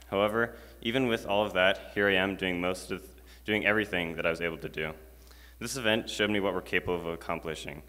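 A man's voice: deep voice, pushed and bright. A teenage boy giving a prepared chapel talk at a stand mic, earnest and reading from notes with casual fillers. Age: teens. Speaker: student speaker. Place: school chapel, large indoor space. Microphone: stand mic at a lectern. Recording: long hall reverb.

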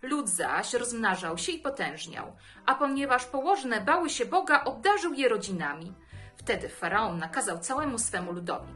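A woman's voice: on the high side, fairly bright tone. A woman in her thirties reading a Bible story aloud to children as a storyteller.

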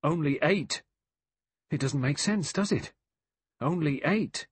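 A man acts a flustered English manservant in a mystery novel. He is deferential but insistent and colloquial, his husky voice swinging up and down in a dry recording. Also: a little high, animated, slow pace, no echo, pitched above the performer's own voice, heavily acted. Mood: bewildered.

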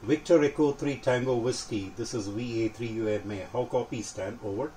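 An older man giving an informal technical demonstration as a radio hobbyist, speaking in a measured, matter-of-fact way. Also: mid-range voice, dark tone. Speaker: amateur radio hobbyist presenting. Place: indoor home radio workshop. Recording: clearly noisy home workshop recording.

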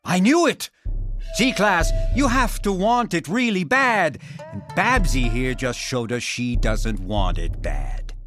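An actor playing a big, taunting, domineering martial arts instructor in an animated drama. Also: male voice, a little high, takes it slowly, over music.

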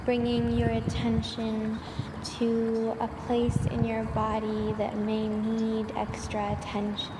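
Very slow, echoing woman guiding meditation. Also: on the high side. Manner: soothing, measured delivery with long pauses. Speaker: meditation guide. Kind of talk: guided sleep meditation with affirmations. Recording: noisy recording with long echoing reverb.

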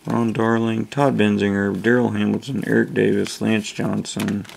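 A man hosting a casual video, slowly reading off names as he opens packs at a table indoors. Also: level tone, creaky, noisy background. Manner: slow, low-key listing of names. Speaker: channel host opening card packs. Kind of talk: casual card-opening commentary.

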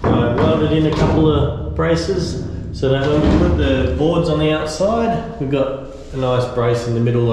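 A man, hosting a boat restoration vlog in a relaxed, chatty way full of ums and uhs. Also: medium pitch, slight background noise. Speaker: boat restorer and channel host. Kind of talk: casual vlog narration. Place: inside a steel boat hull, then an outdoor patio.

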